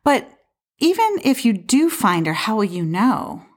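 An actress playing a skeptical but helpful apartment dweller in an audio play, speaking in a hesitant, conversational way. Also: female voice, a little high, very animated, unhurried. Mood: skeptical, wistful.

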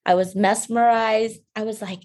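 Soft-spoken Vietnamese-born woman guest. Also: fairly high pitch, fairly even intonation, normal speed. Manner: soft-spoken, fillers and restarts, light laughs. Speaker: beauty brand founder, guest. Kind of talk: casual interview talk.

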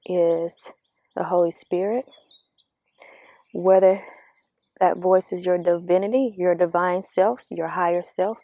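A woman giving a reflective, earnest motivational talk outdoors, speaking slowly and asking the listener questions, her voice slightly raspy. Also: on the low side, mellow, dry recording, lo-fi sound. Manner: slow, earnest, coaching, asks the listener questions. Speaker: vlogger giving spiritual encouragement. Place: outdoors among birds. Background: birdsong.

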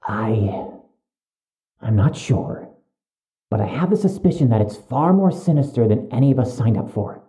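An actor playing a suspicious young medical student in an audio drama, speaking with precise, urgent, stiffly formal wording. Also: male voice, mid-range voice, animated, moderate pace, long pauses, warm tone, lightly acted. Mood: secretive, worried.

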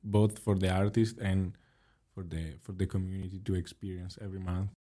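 A man co-hosting a podcast, asking halting questions and repeating words as he searches for them. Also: sits low, flat delivery, mellow. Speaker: podcast co-host. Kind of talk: casual interview chat.

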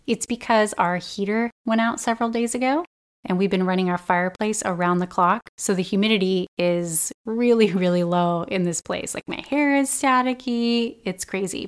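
Earnest, slightly raspy woman podcaster. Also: medium pitch. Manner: earnest, gentle and encouraging. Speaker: podcast host. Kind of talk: personal self-help podcast monologue.

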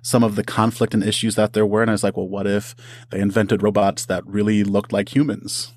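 A man's voice: fairly low pitch, flat delivery, fairly quick, dry recording, studio quality. A man talking casually as an interview guest and writer, with a self-deprecating, good-humoured way of speaking. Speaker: audio drama writer.

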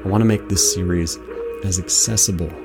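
A man hosting a podcast, reading a scripted introduction in a deliberate, formal way. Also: low voice, steady tone, loud music behind the voice. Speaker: podcast host.

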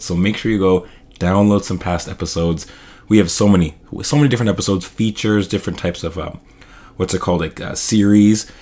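A man hosting his own podcast in a casual, upbeat solo talk, his voice slightly hoarse. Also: deep voice, darkish tone. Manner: casual, upbeat, loose filler-heavy delivery. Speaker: podcast host.

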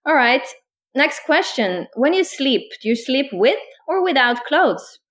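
A woman in her thirties from Northern Norway, speaking English with a Northern Norwegian accent as a podcast co-host, relaxed and laughing often. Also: high-pitched, unhurried. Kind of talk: casual chat.

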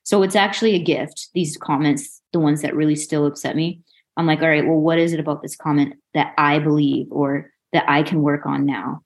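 A woman's voice: low-pitched, steady tone, a little fast. A forty-year-old woman, a coach being interviewed, chatting frankly and informally. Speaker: mindset coach, interview guest. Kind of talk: casual interview chat.

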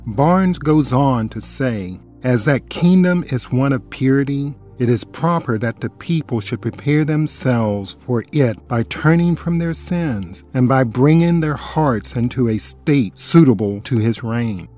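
A man's voice: mid-range voice, lively intonation, measured pace, few pauses, warm tone, faint music behind. A man co-hosting a radio ministry, earnestly reading a scripted Bible study with quoted scripture and definitions.

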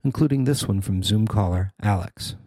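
A man's voice: fairly low pitch, moderate pace, darkish tone, no room sound. A man reading a scripted news report as its reporter and narrator.

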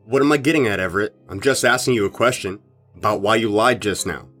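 An actor playing a suspicious, confrontational rock band member in an audio drama, speaking in an insistent, accusing way. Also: male voice, fairly low pitch, fast, a bit bright, soft background music.

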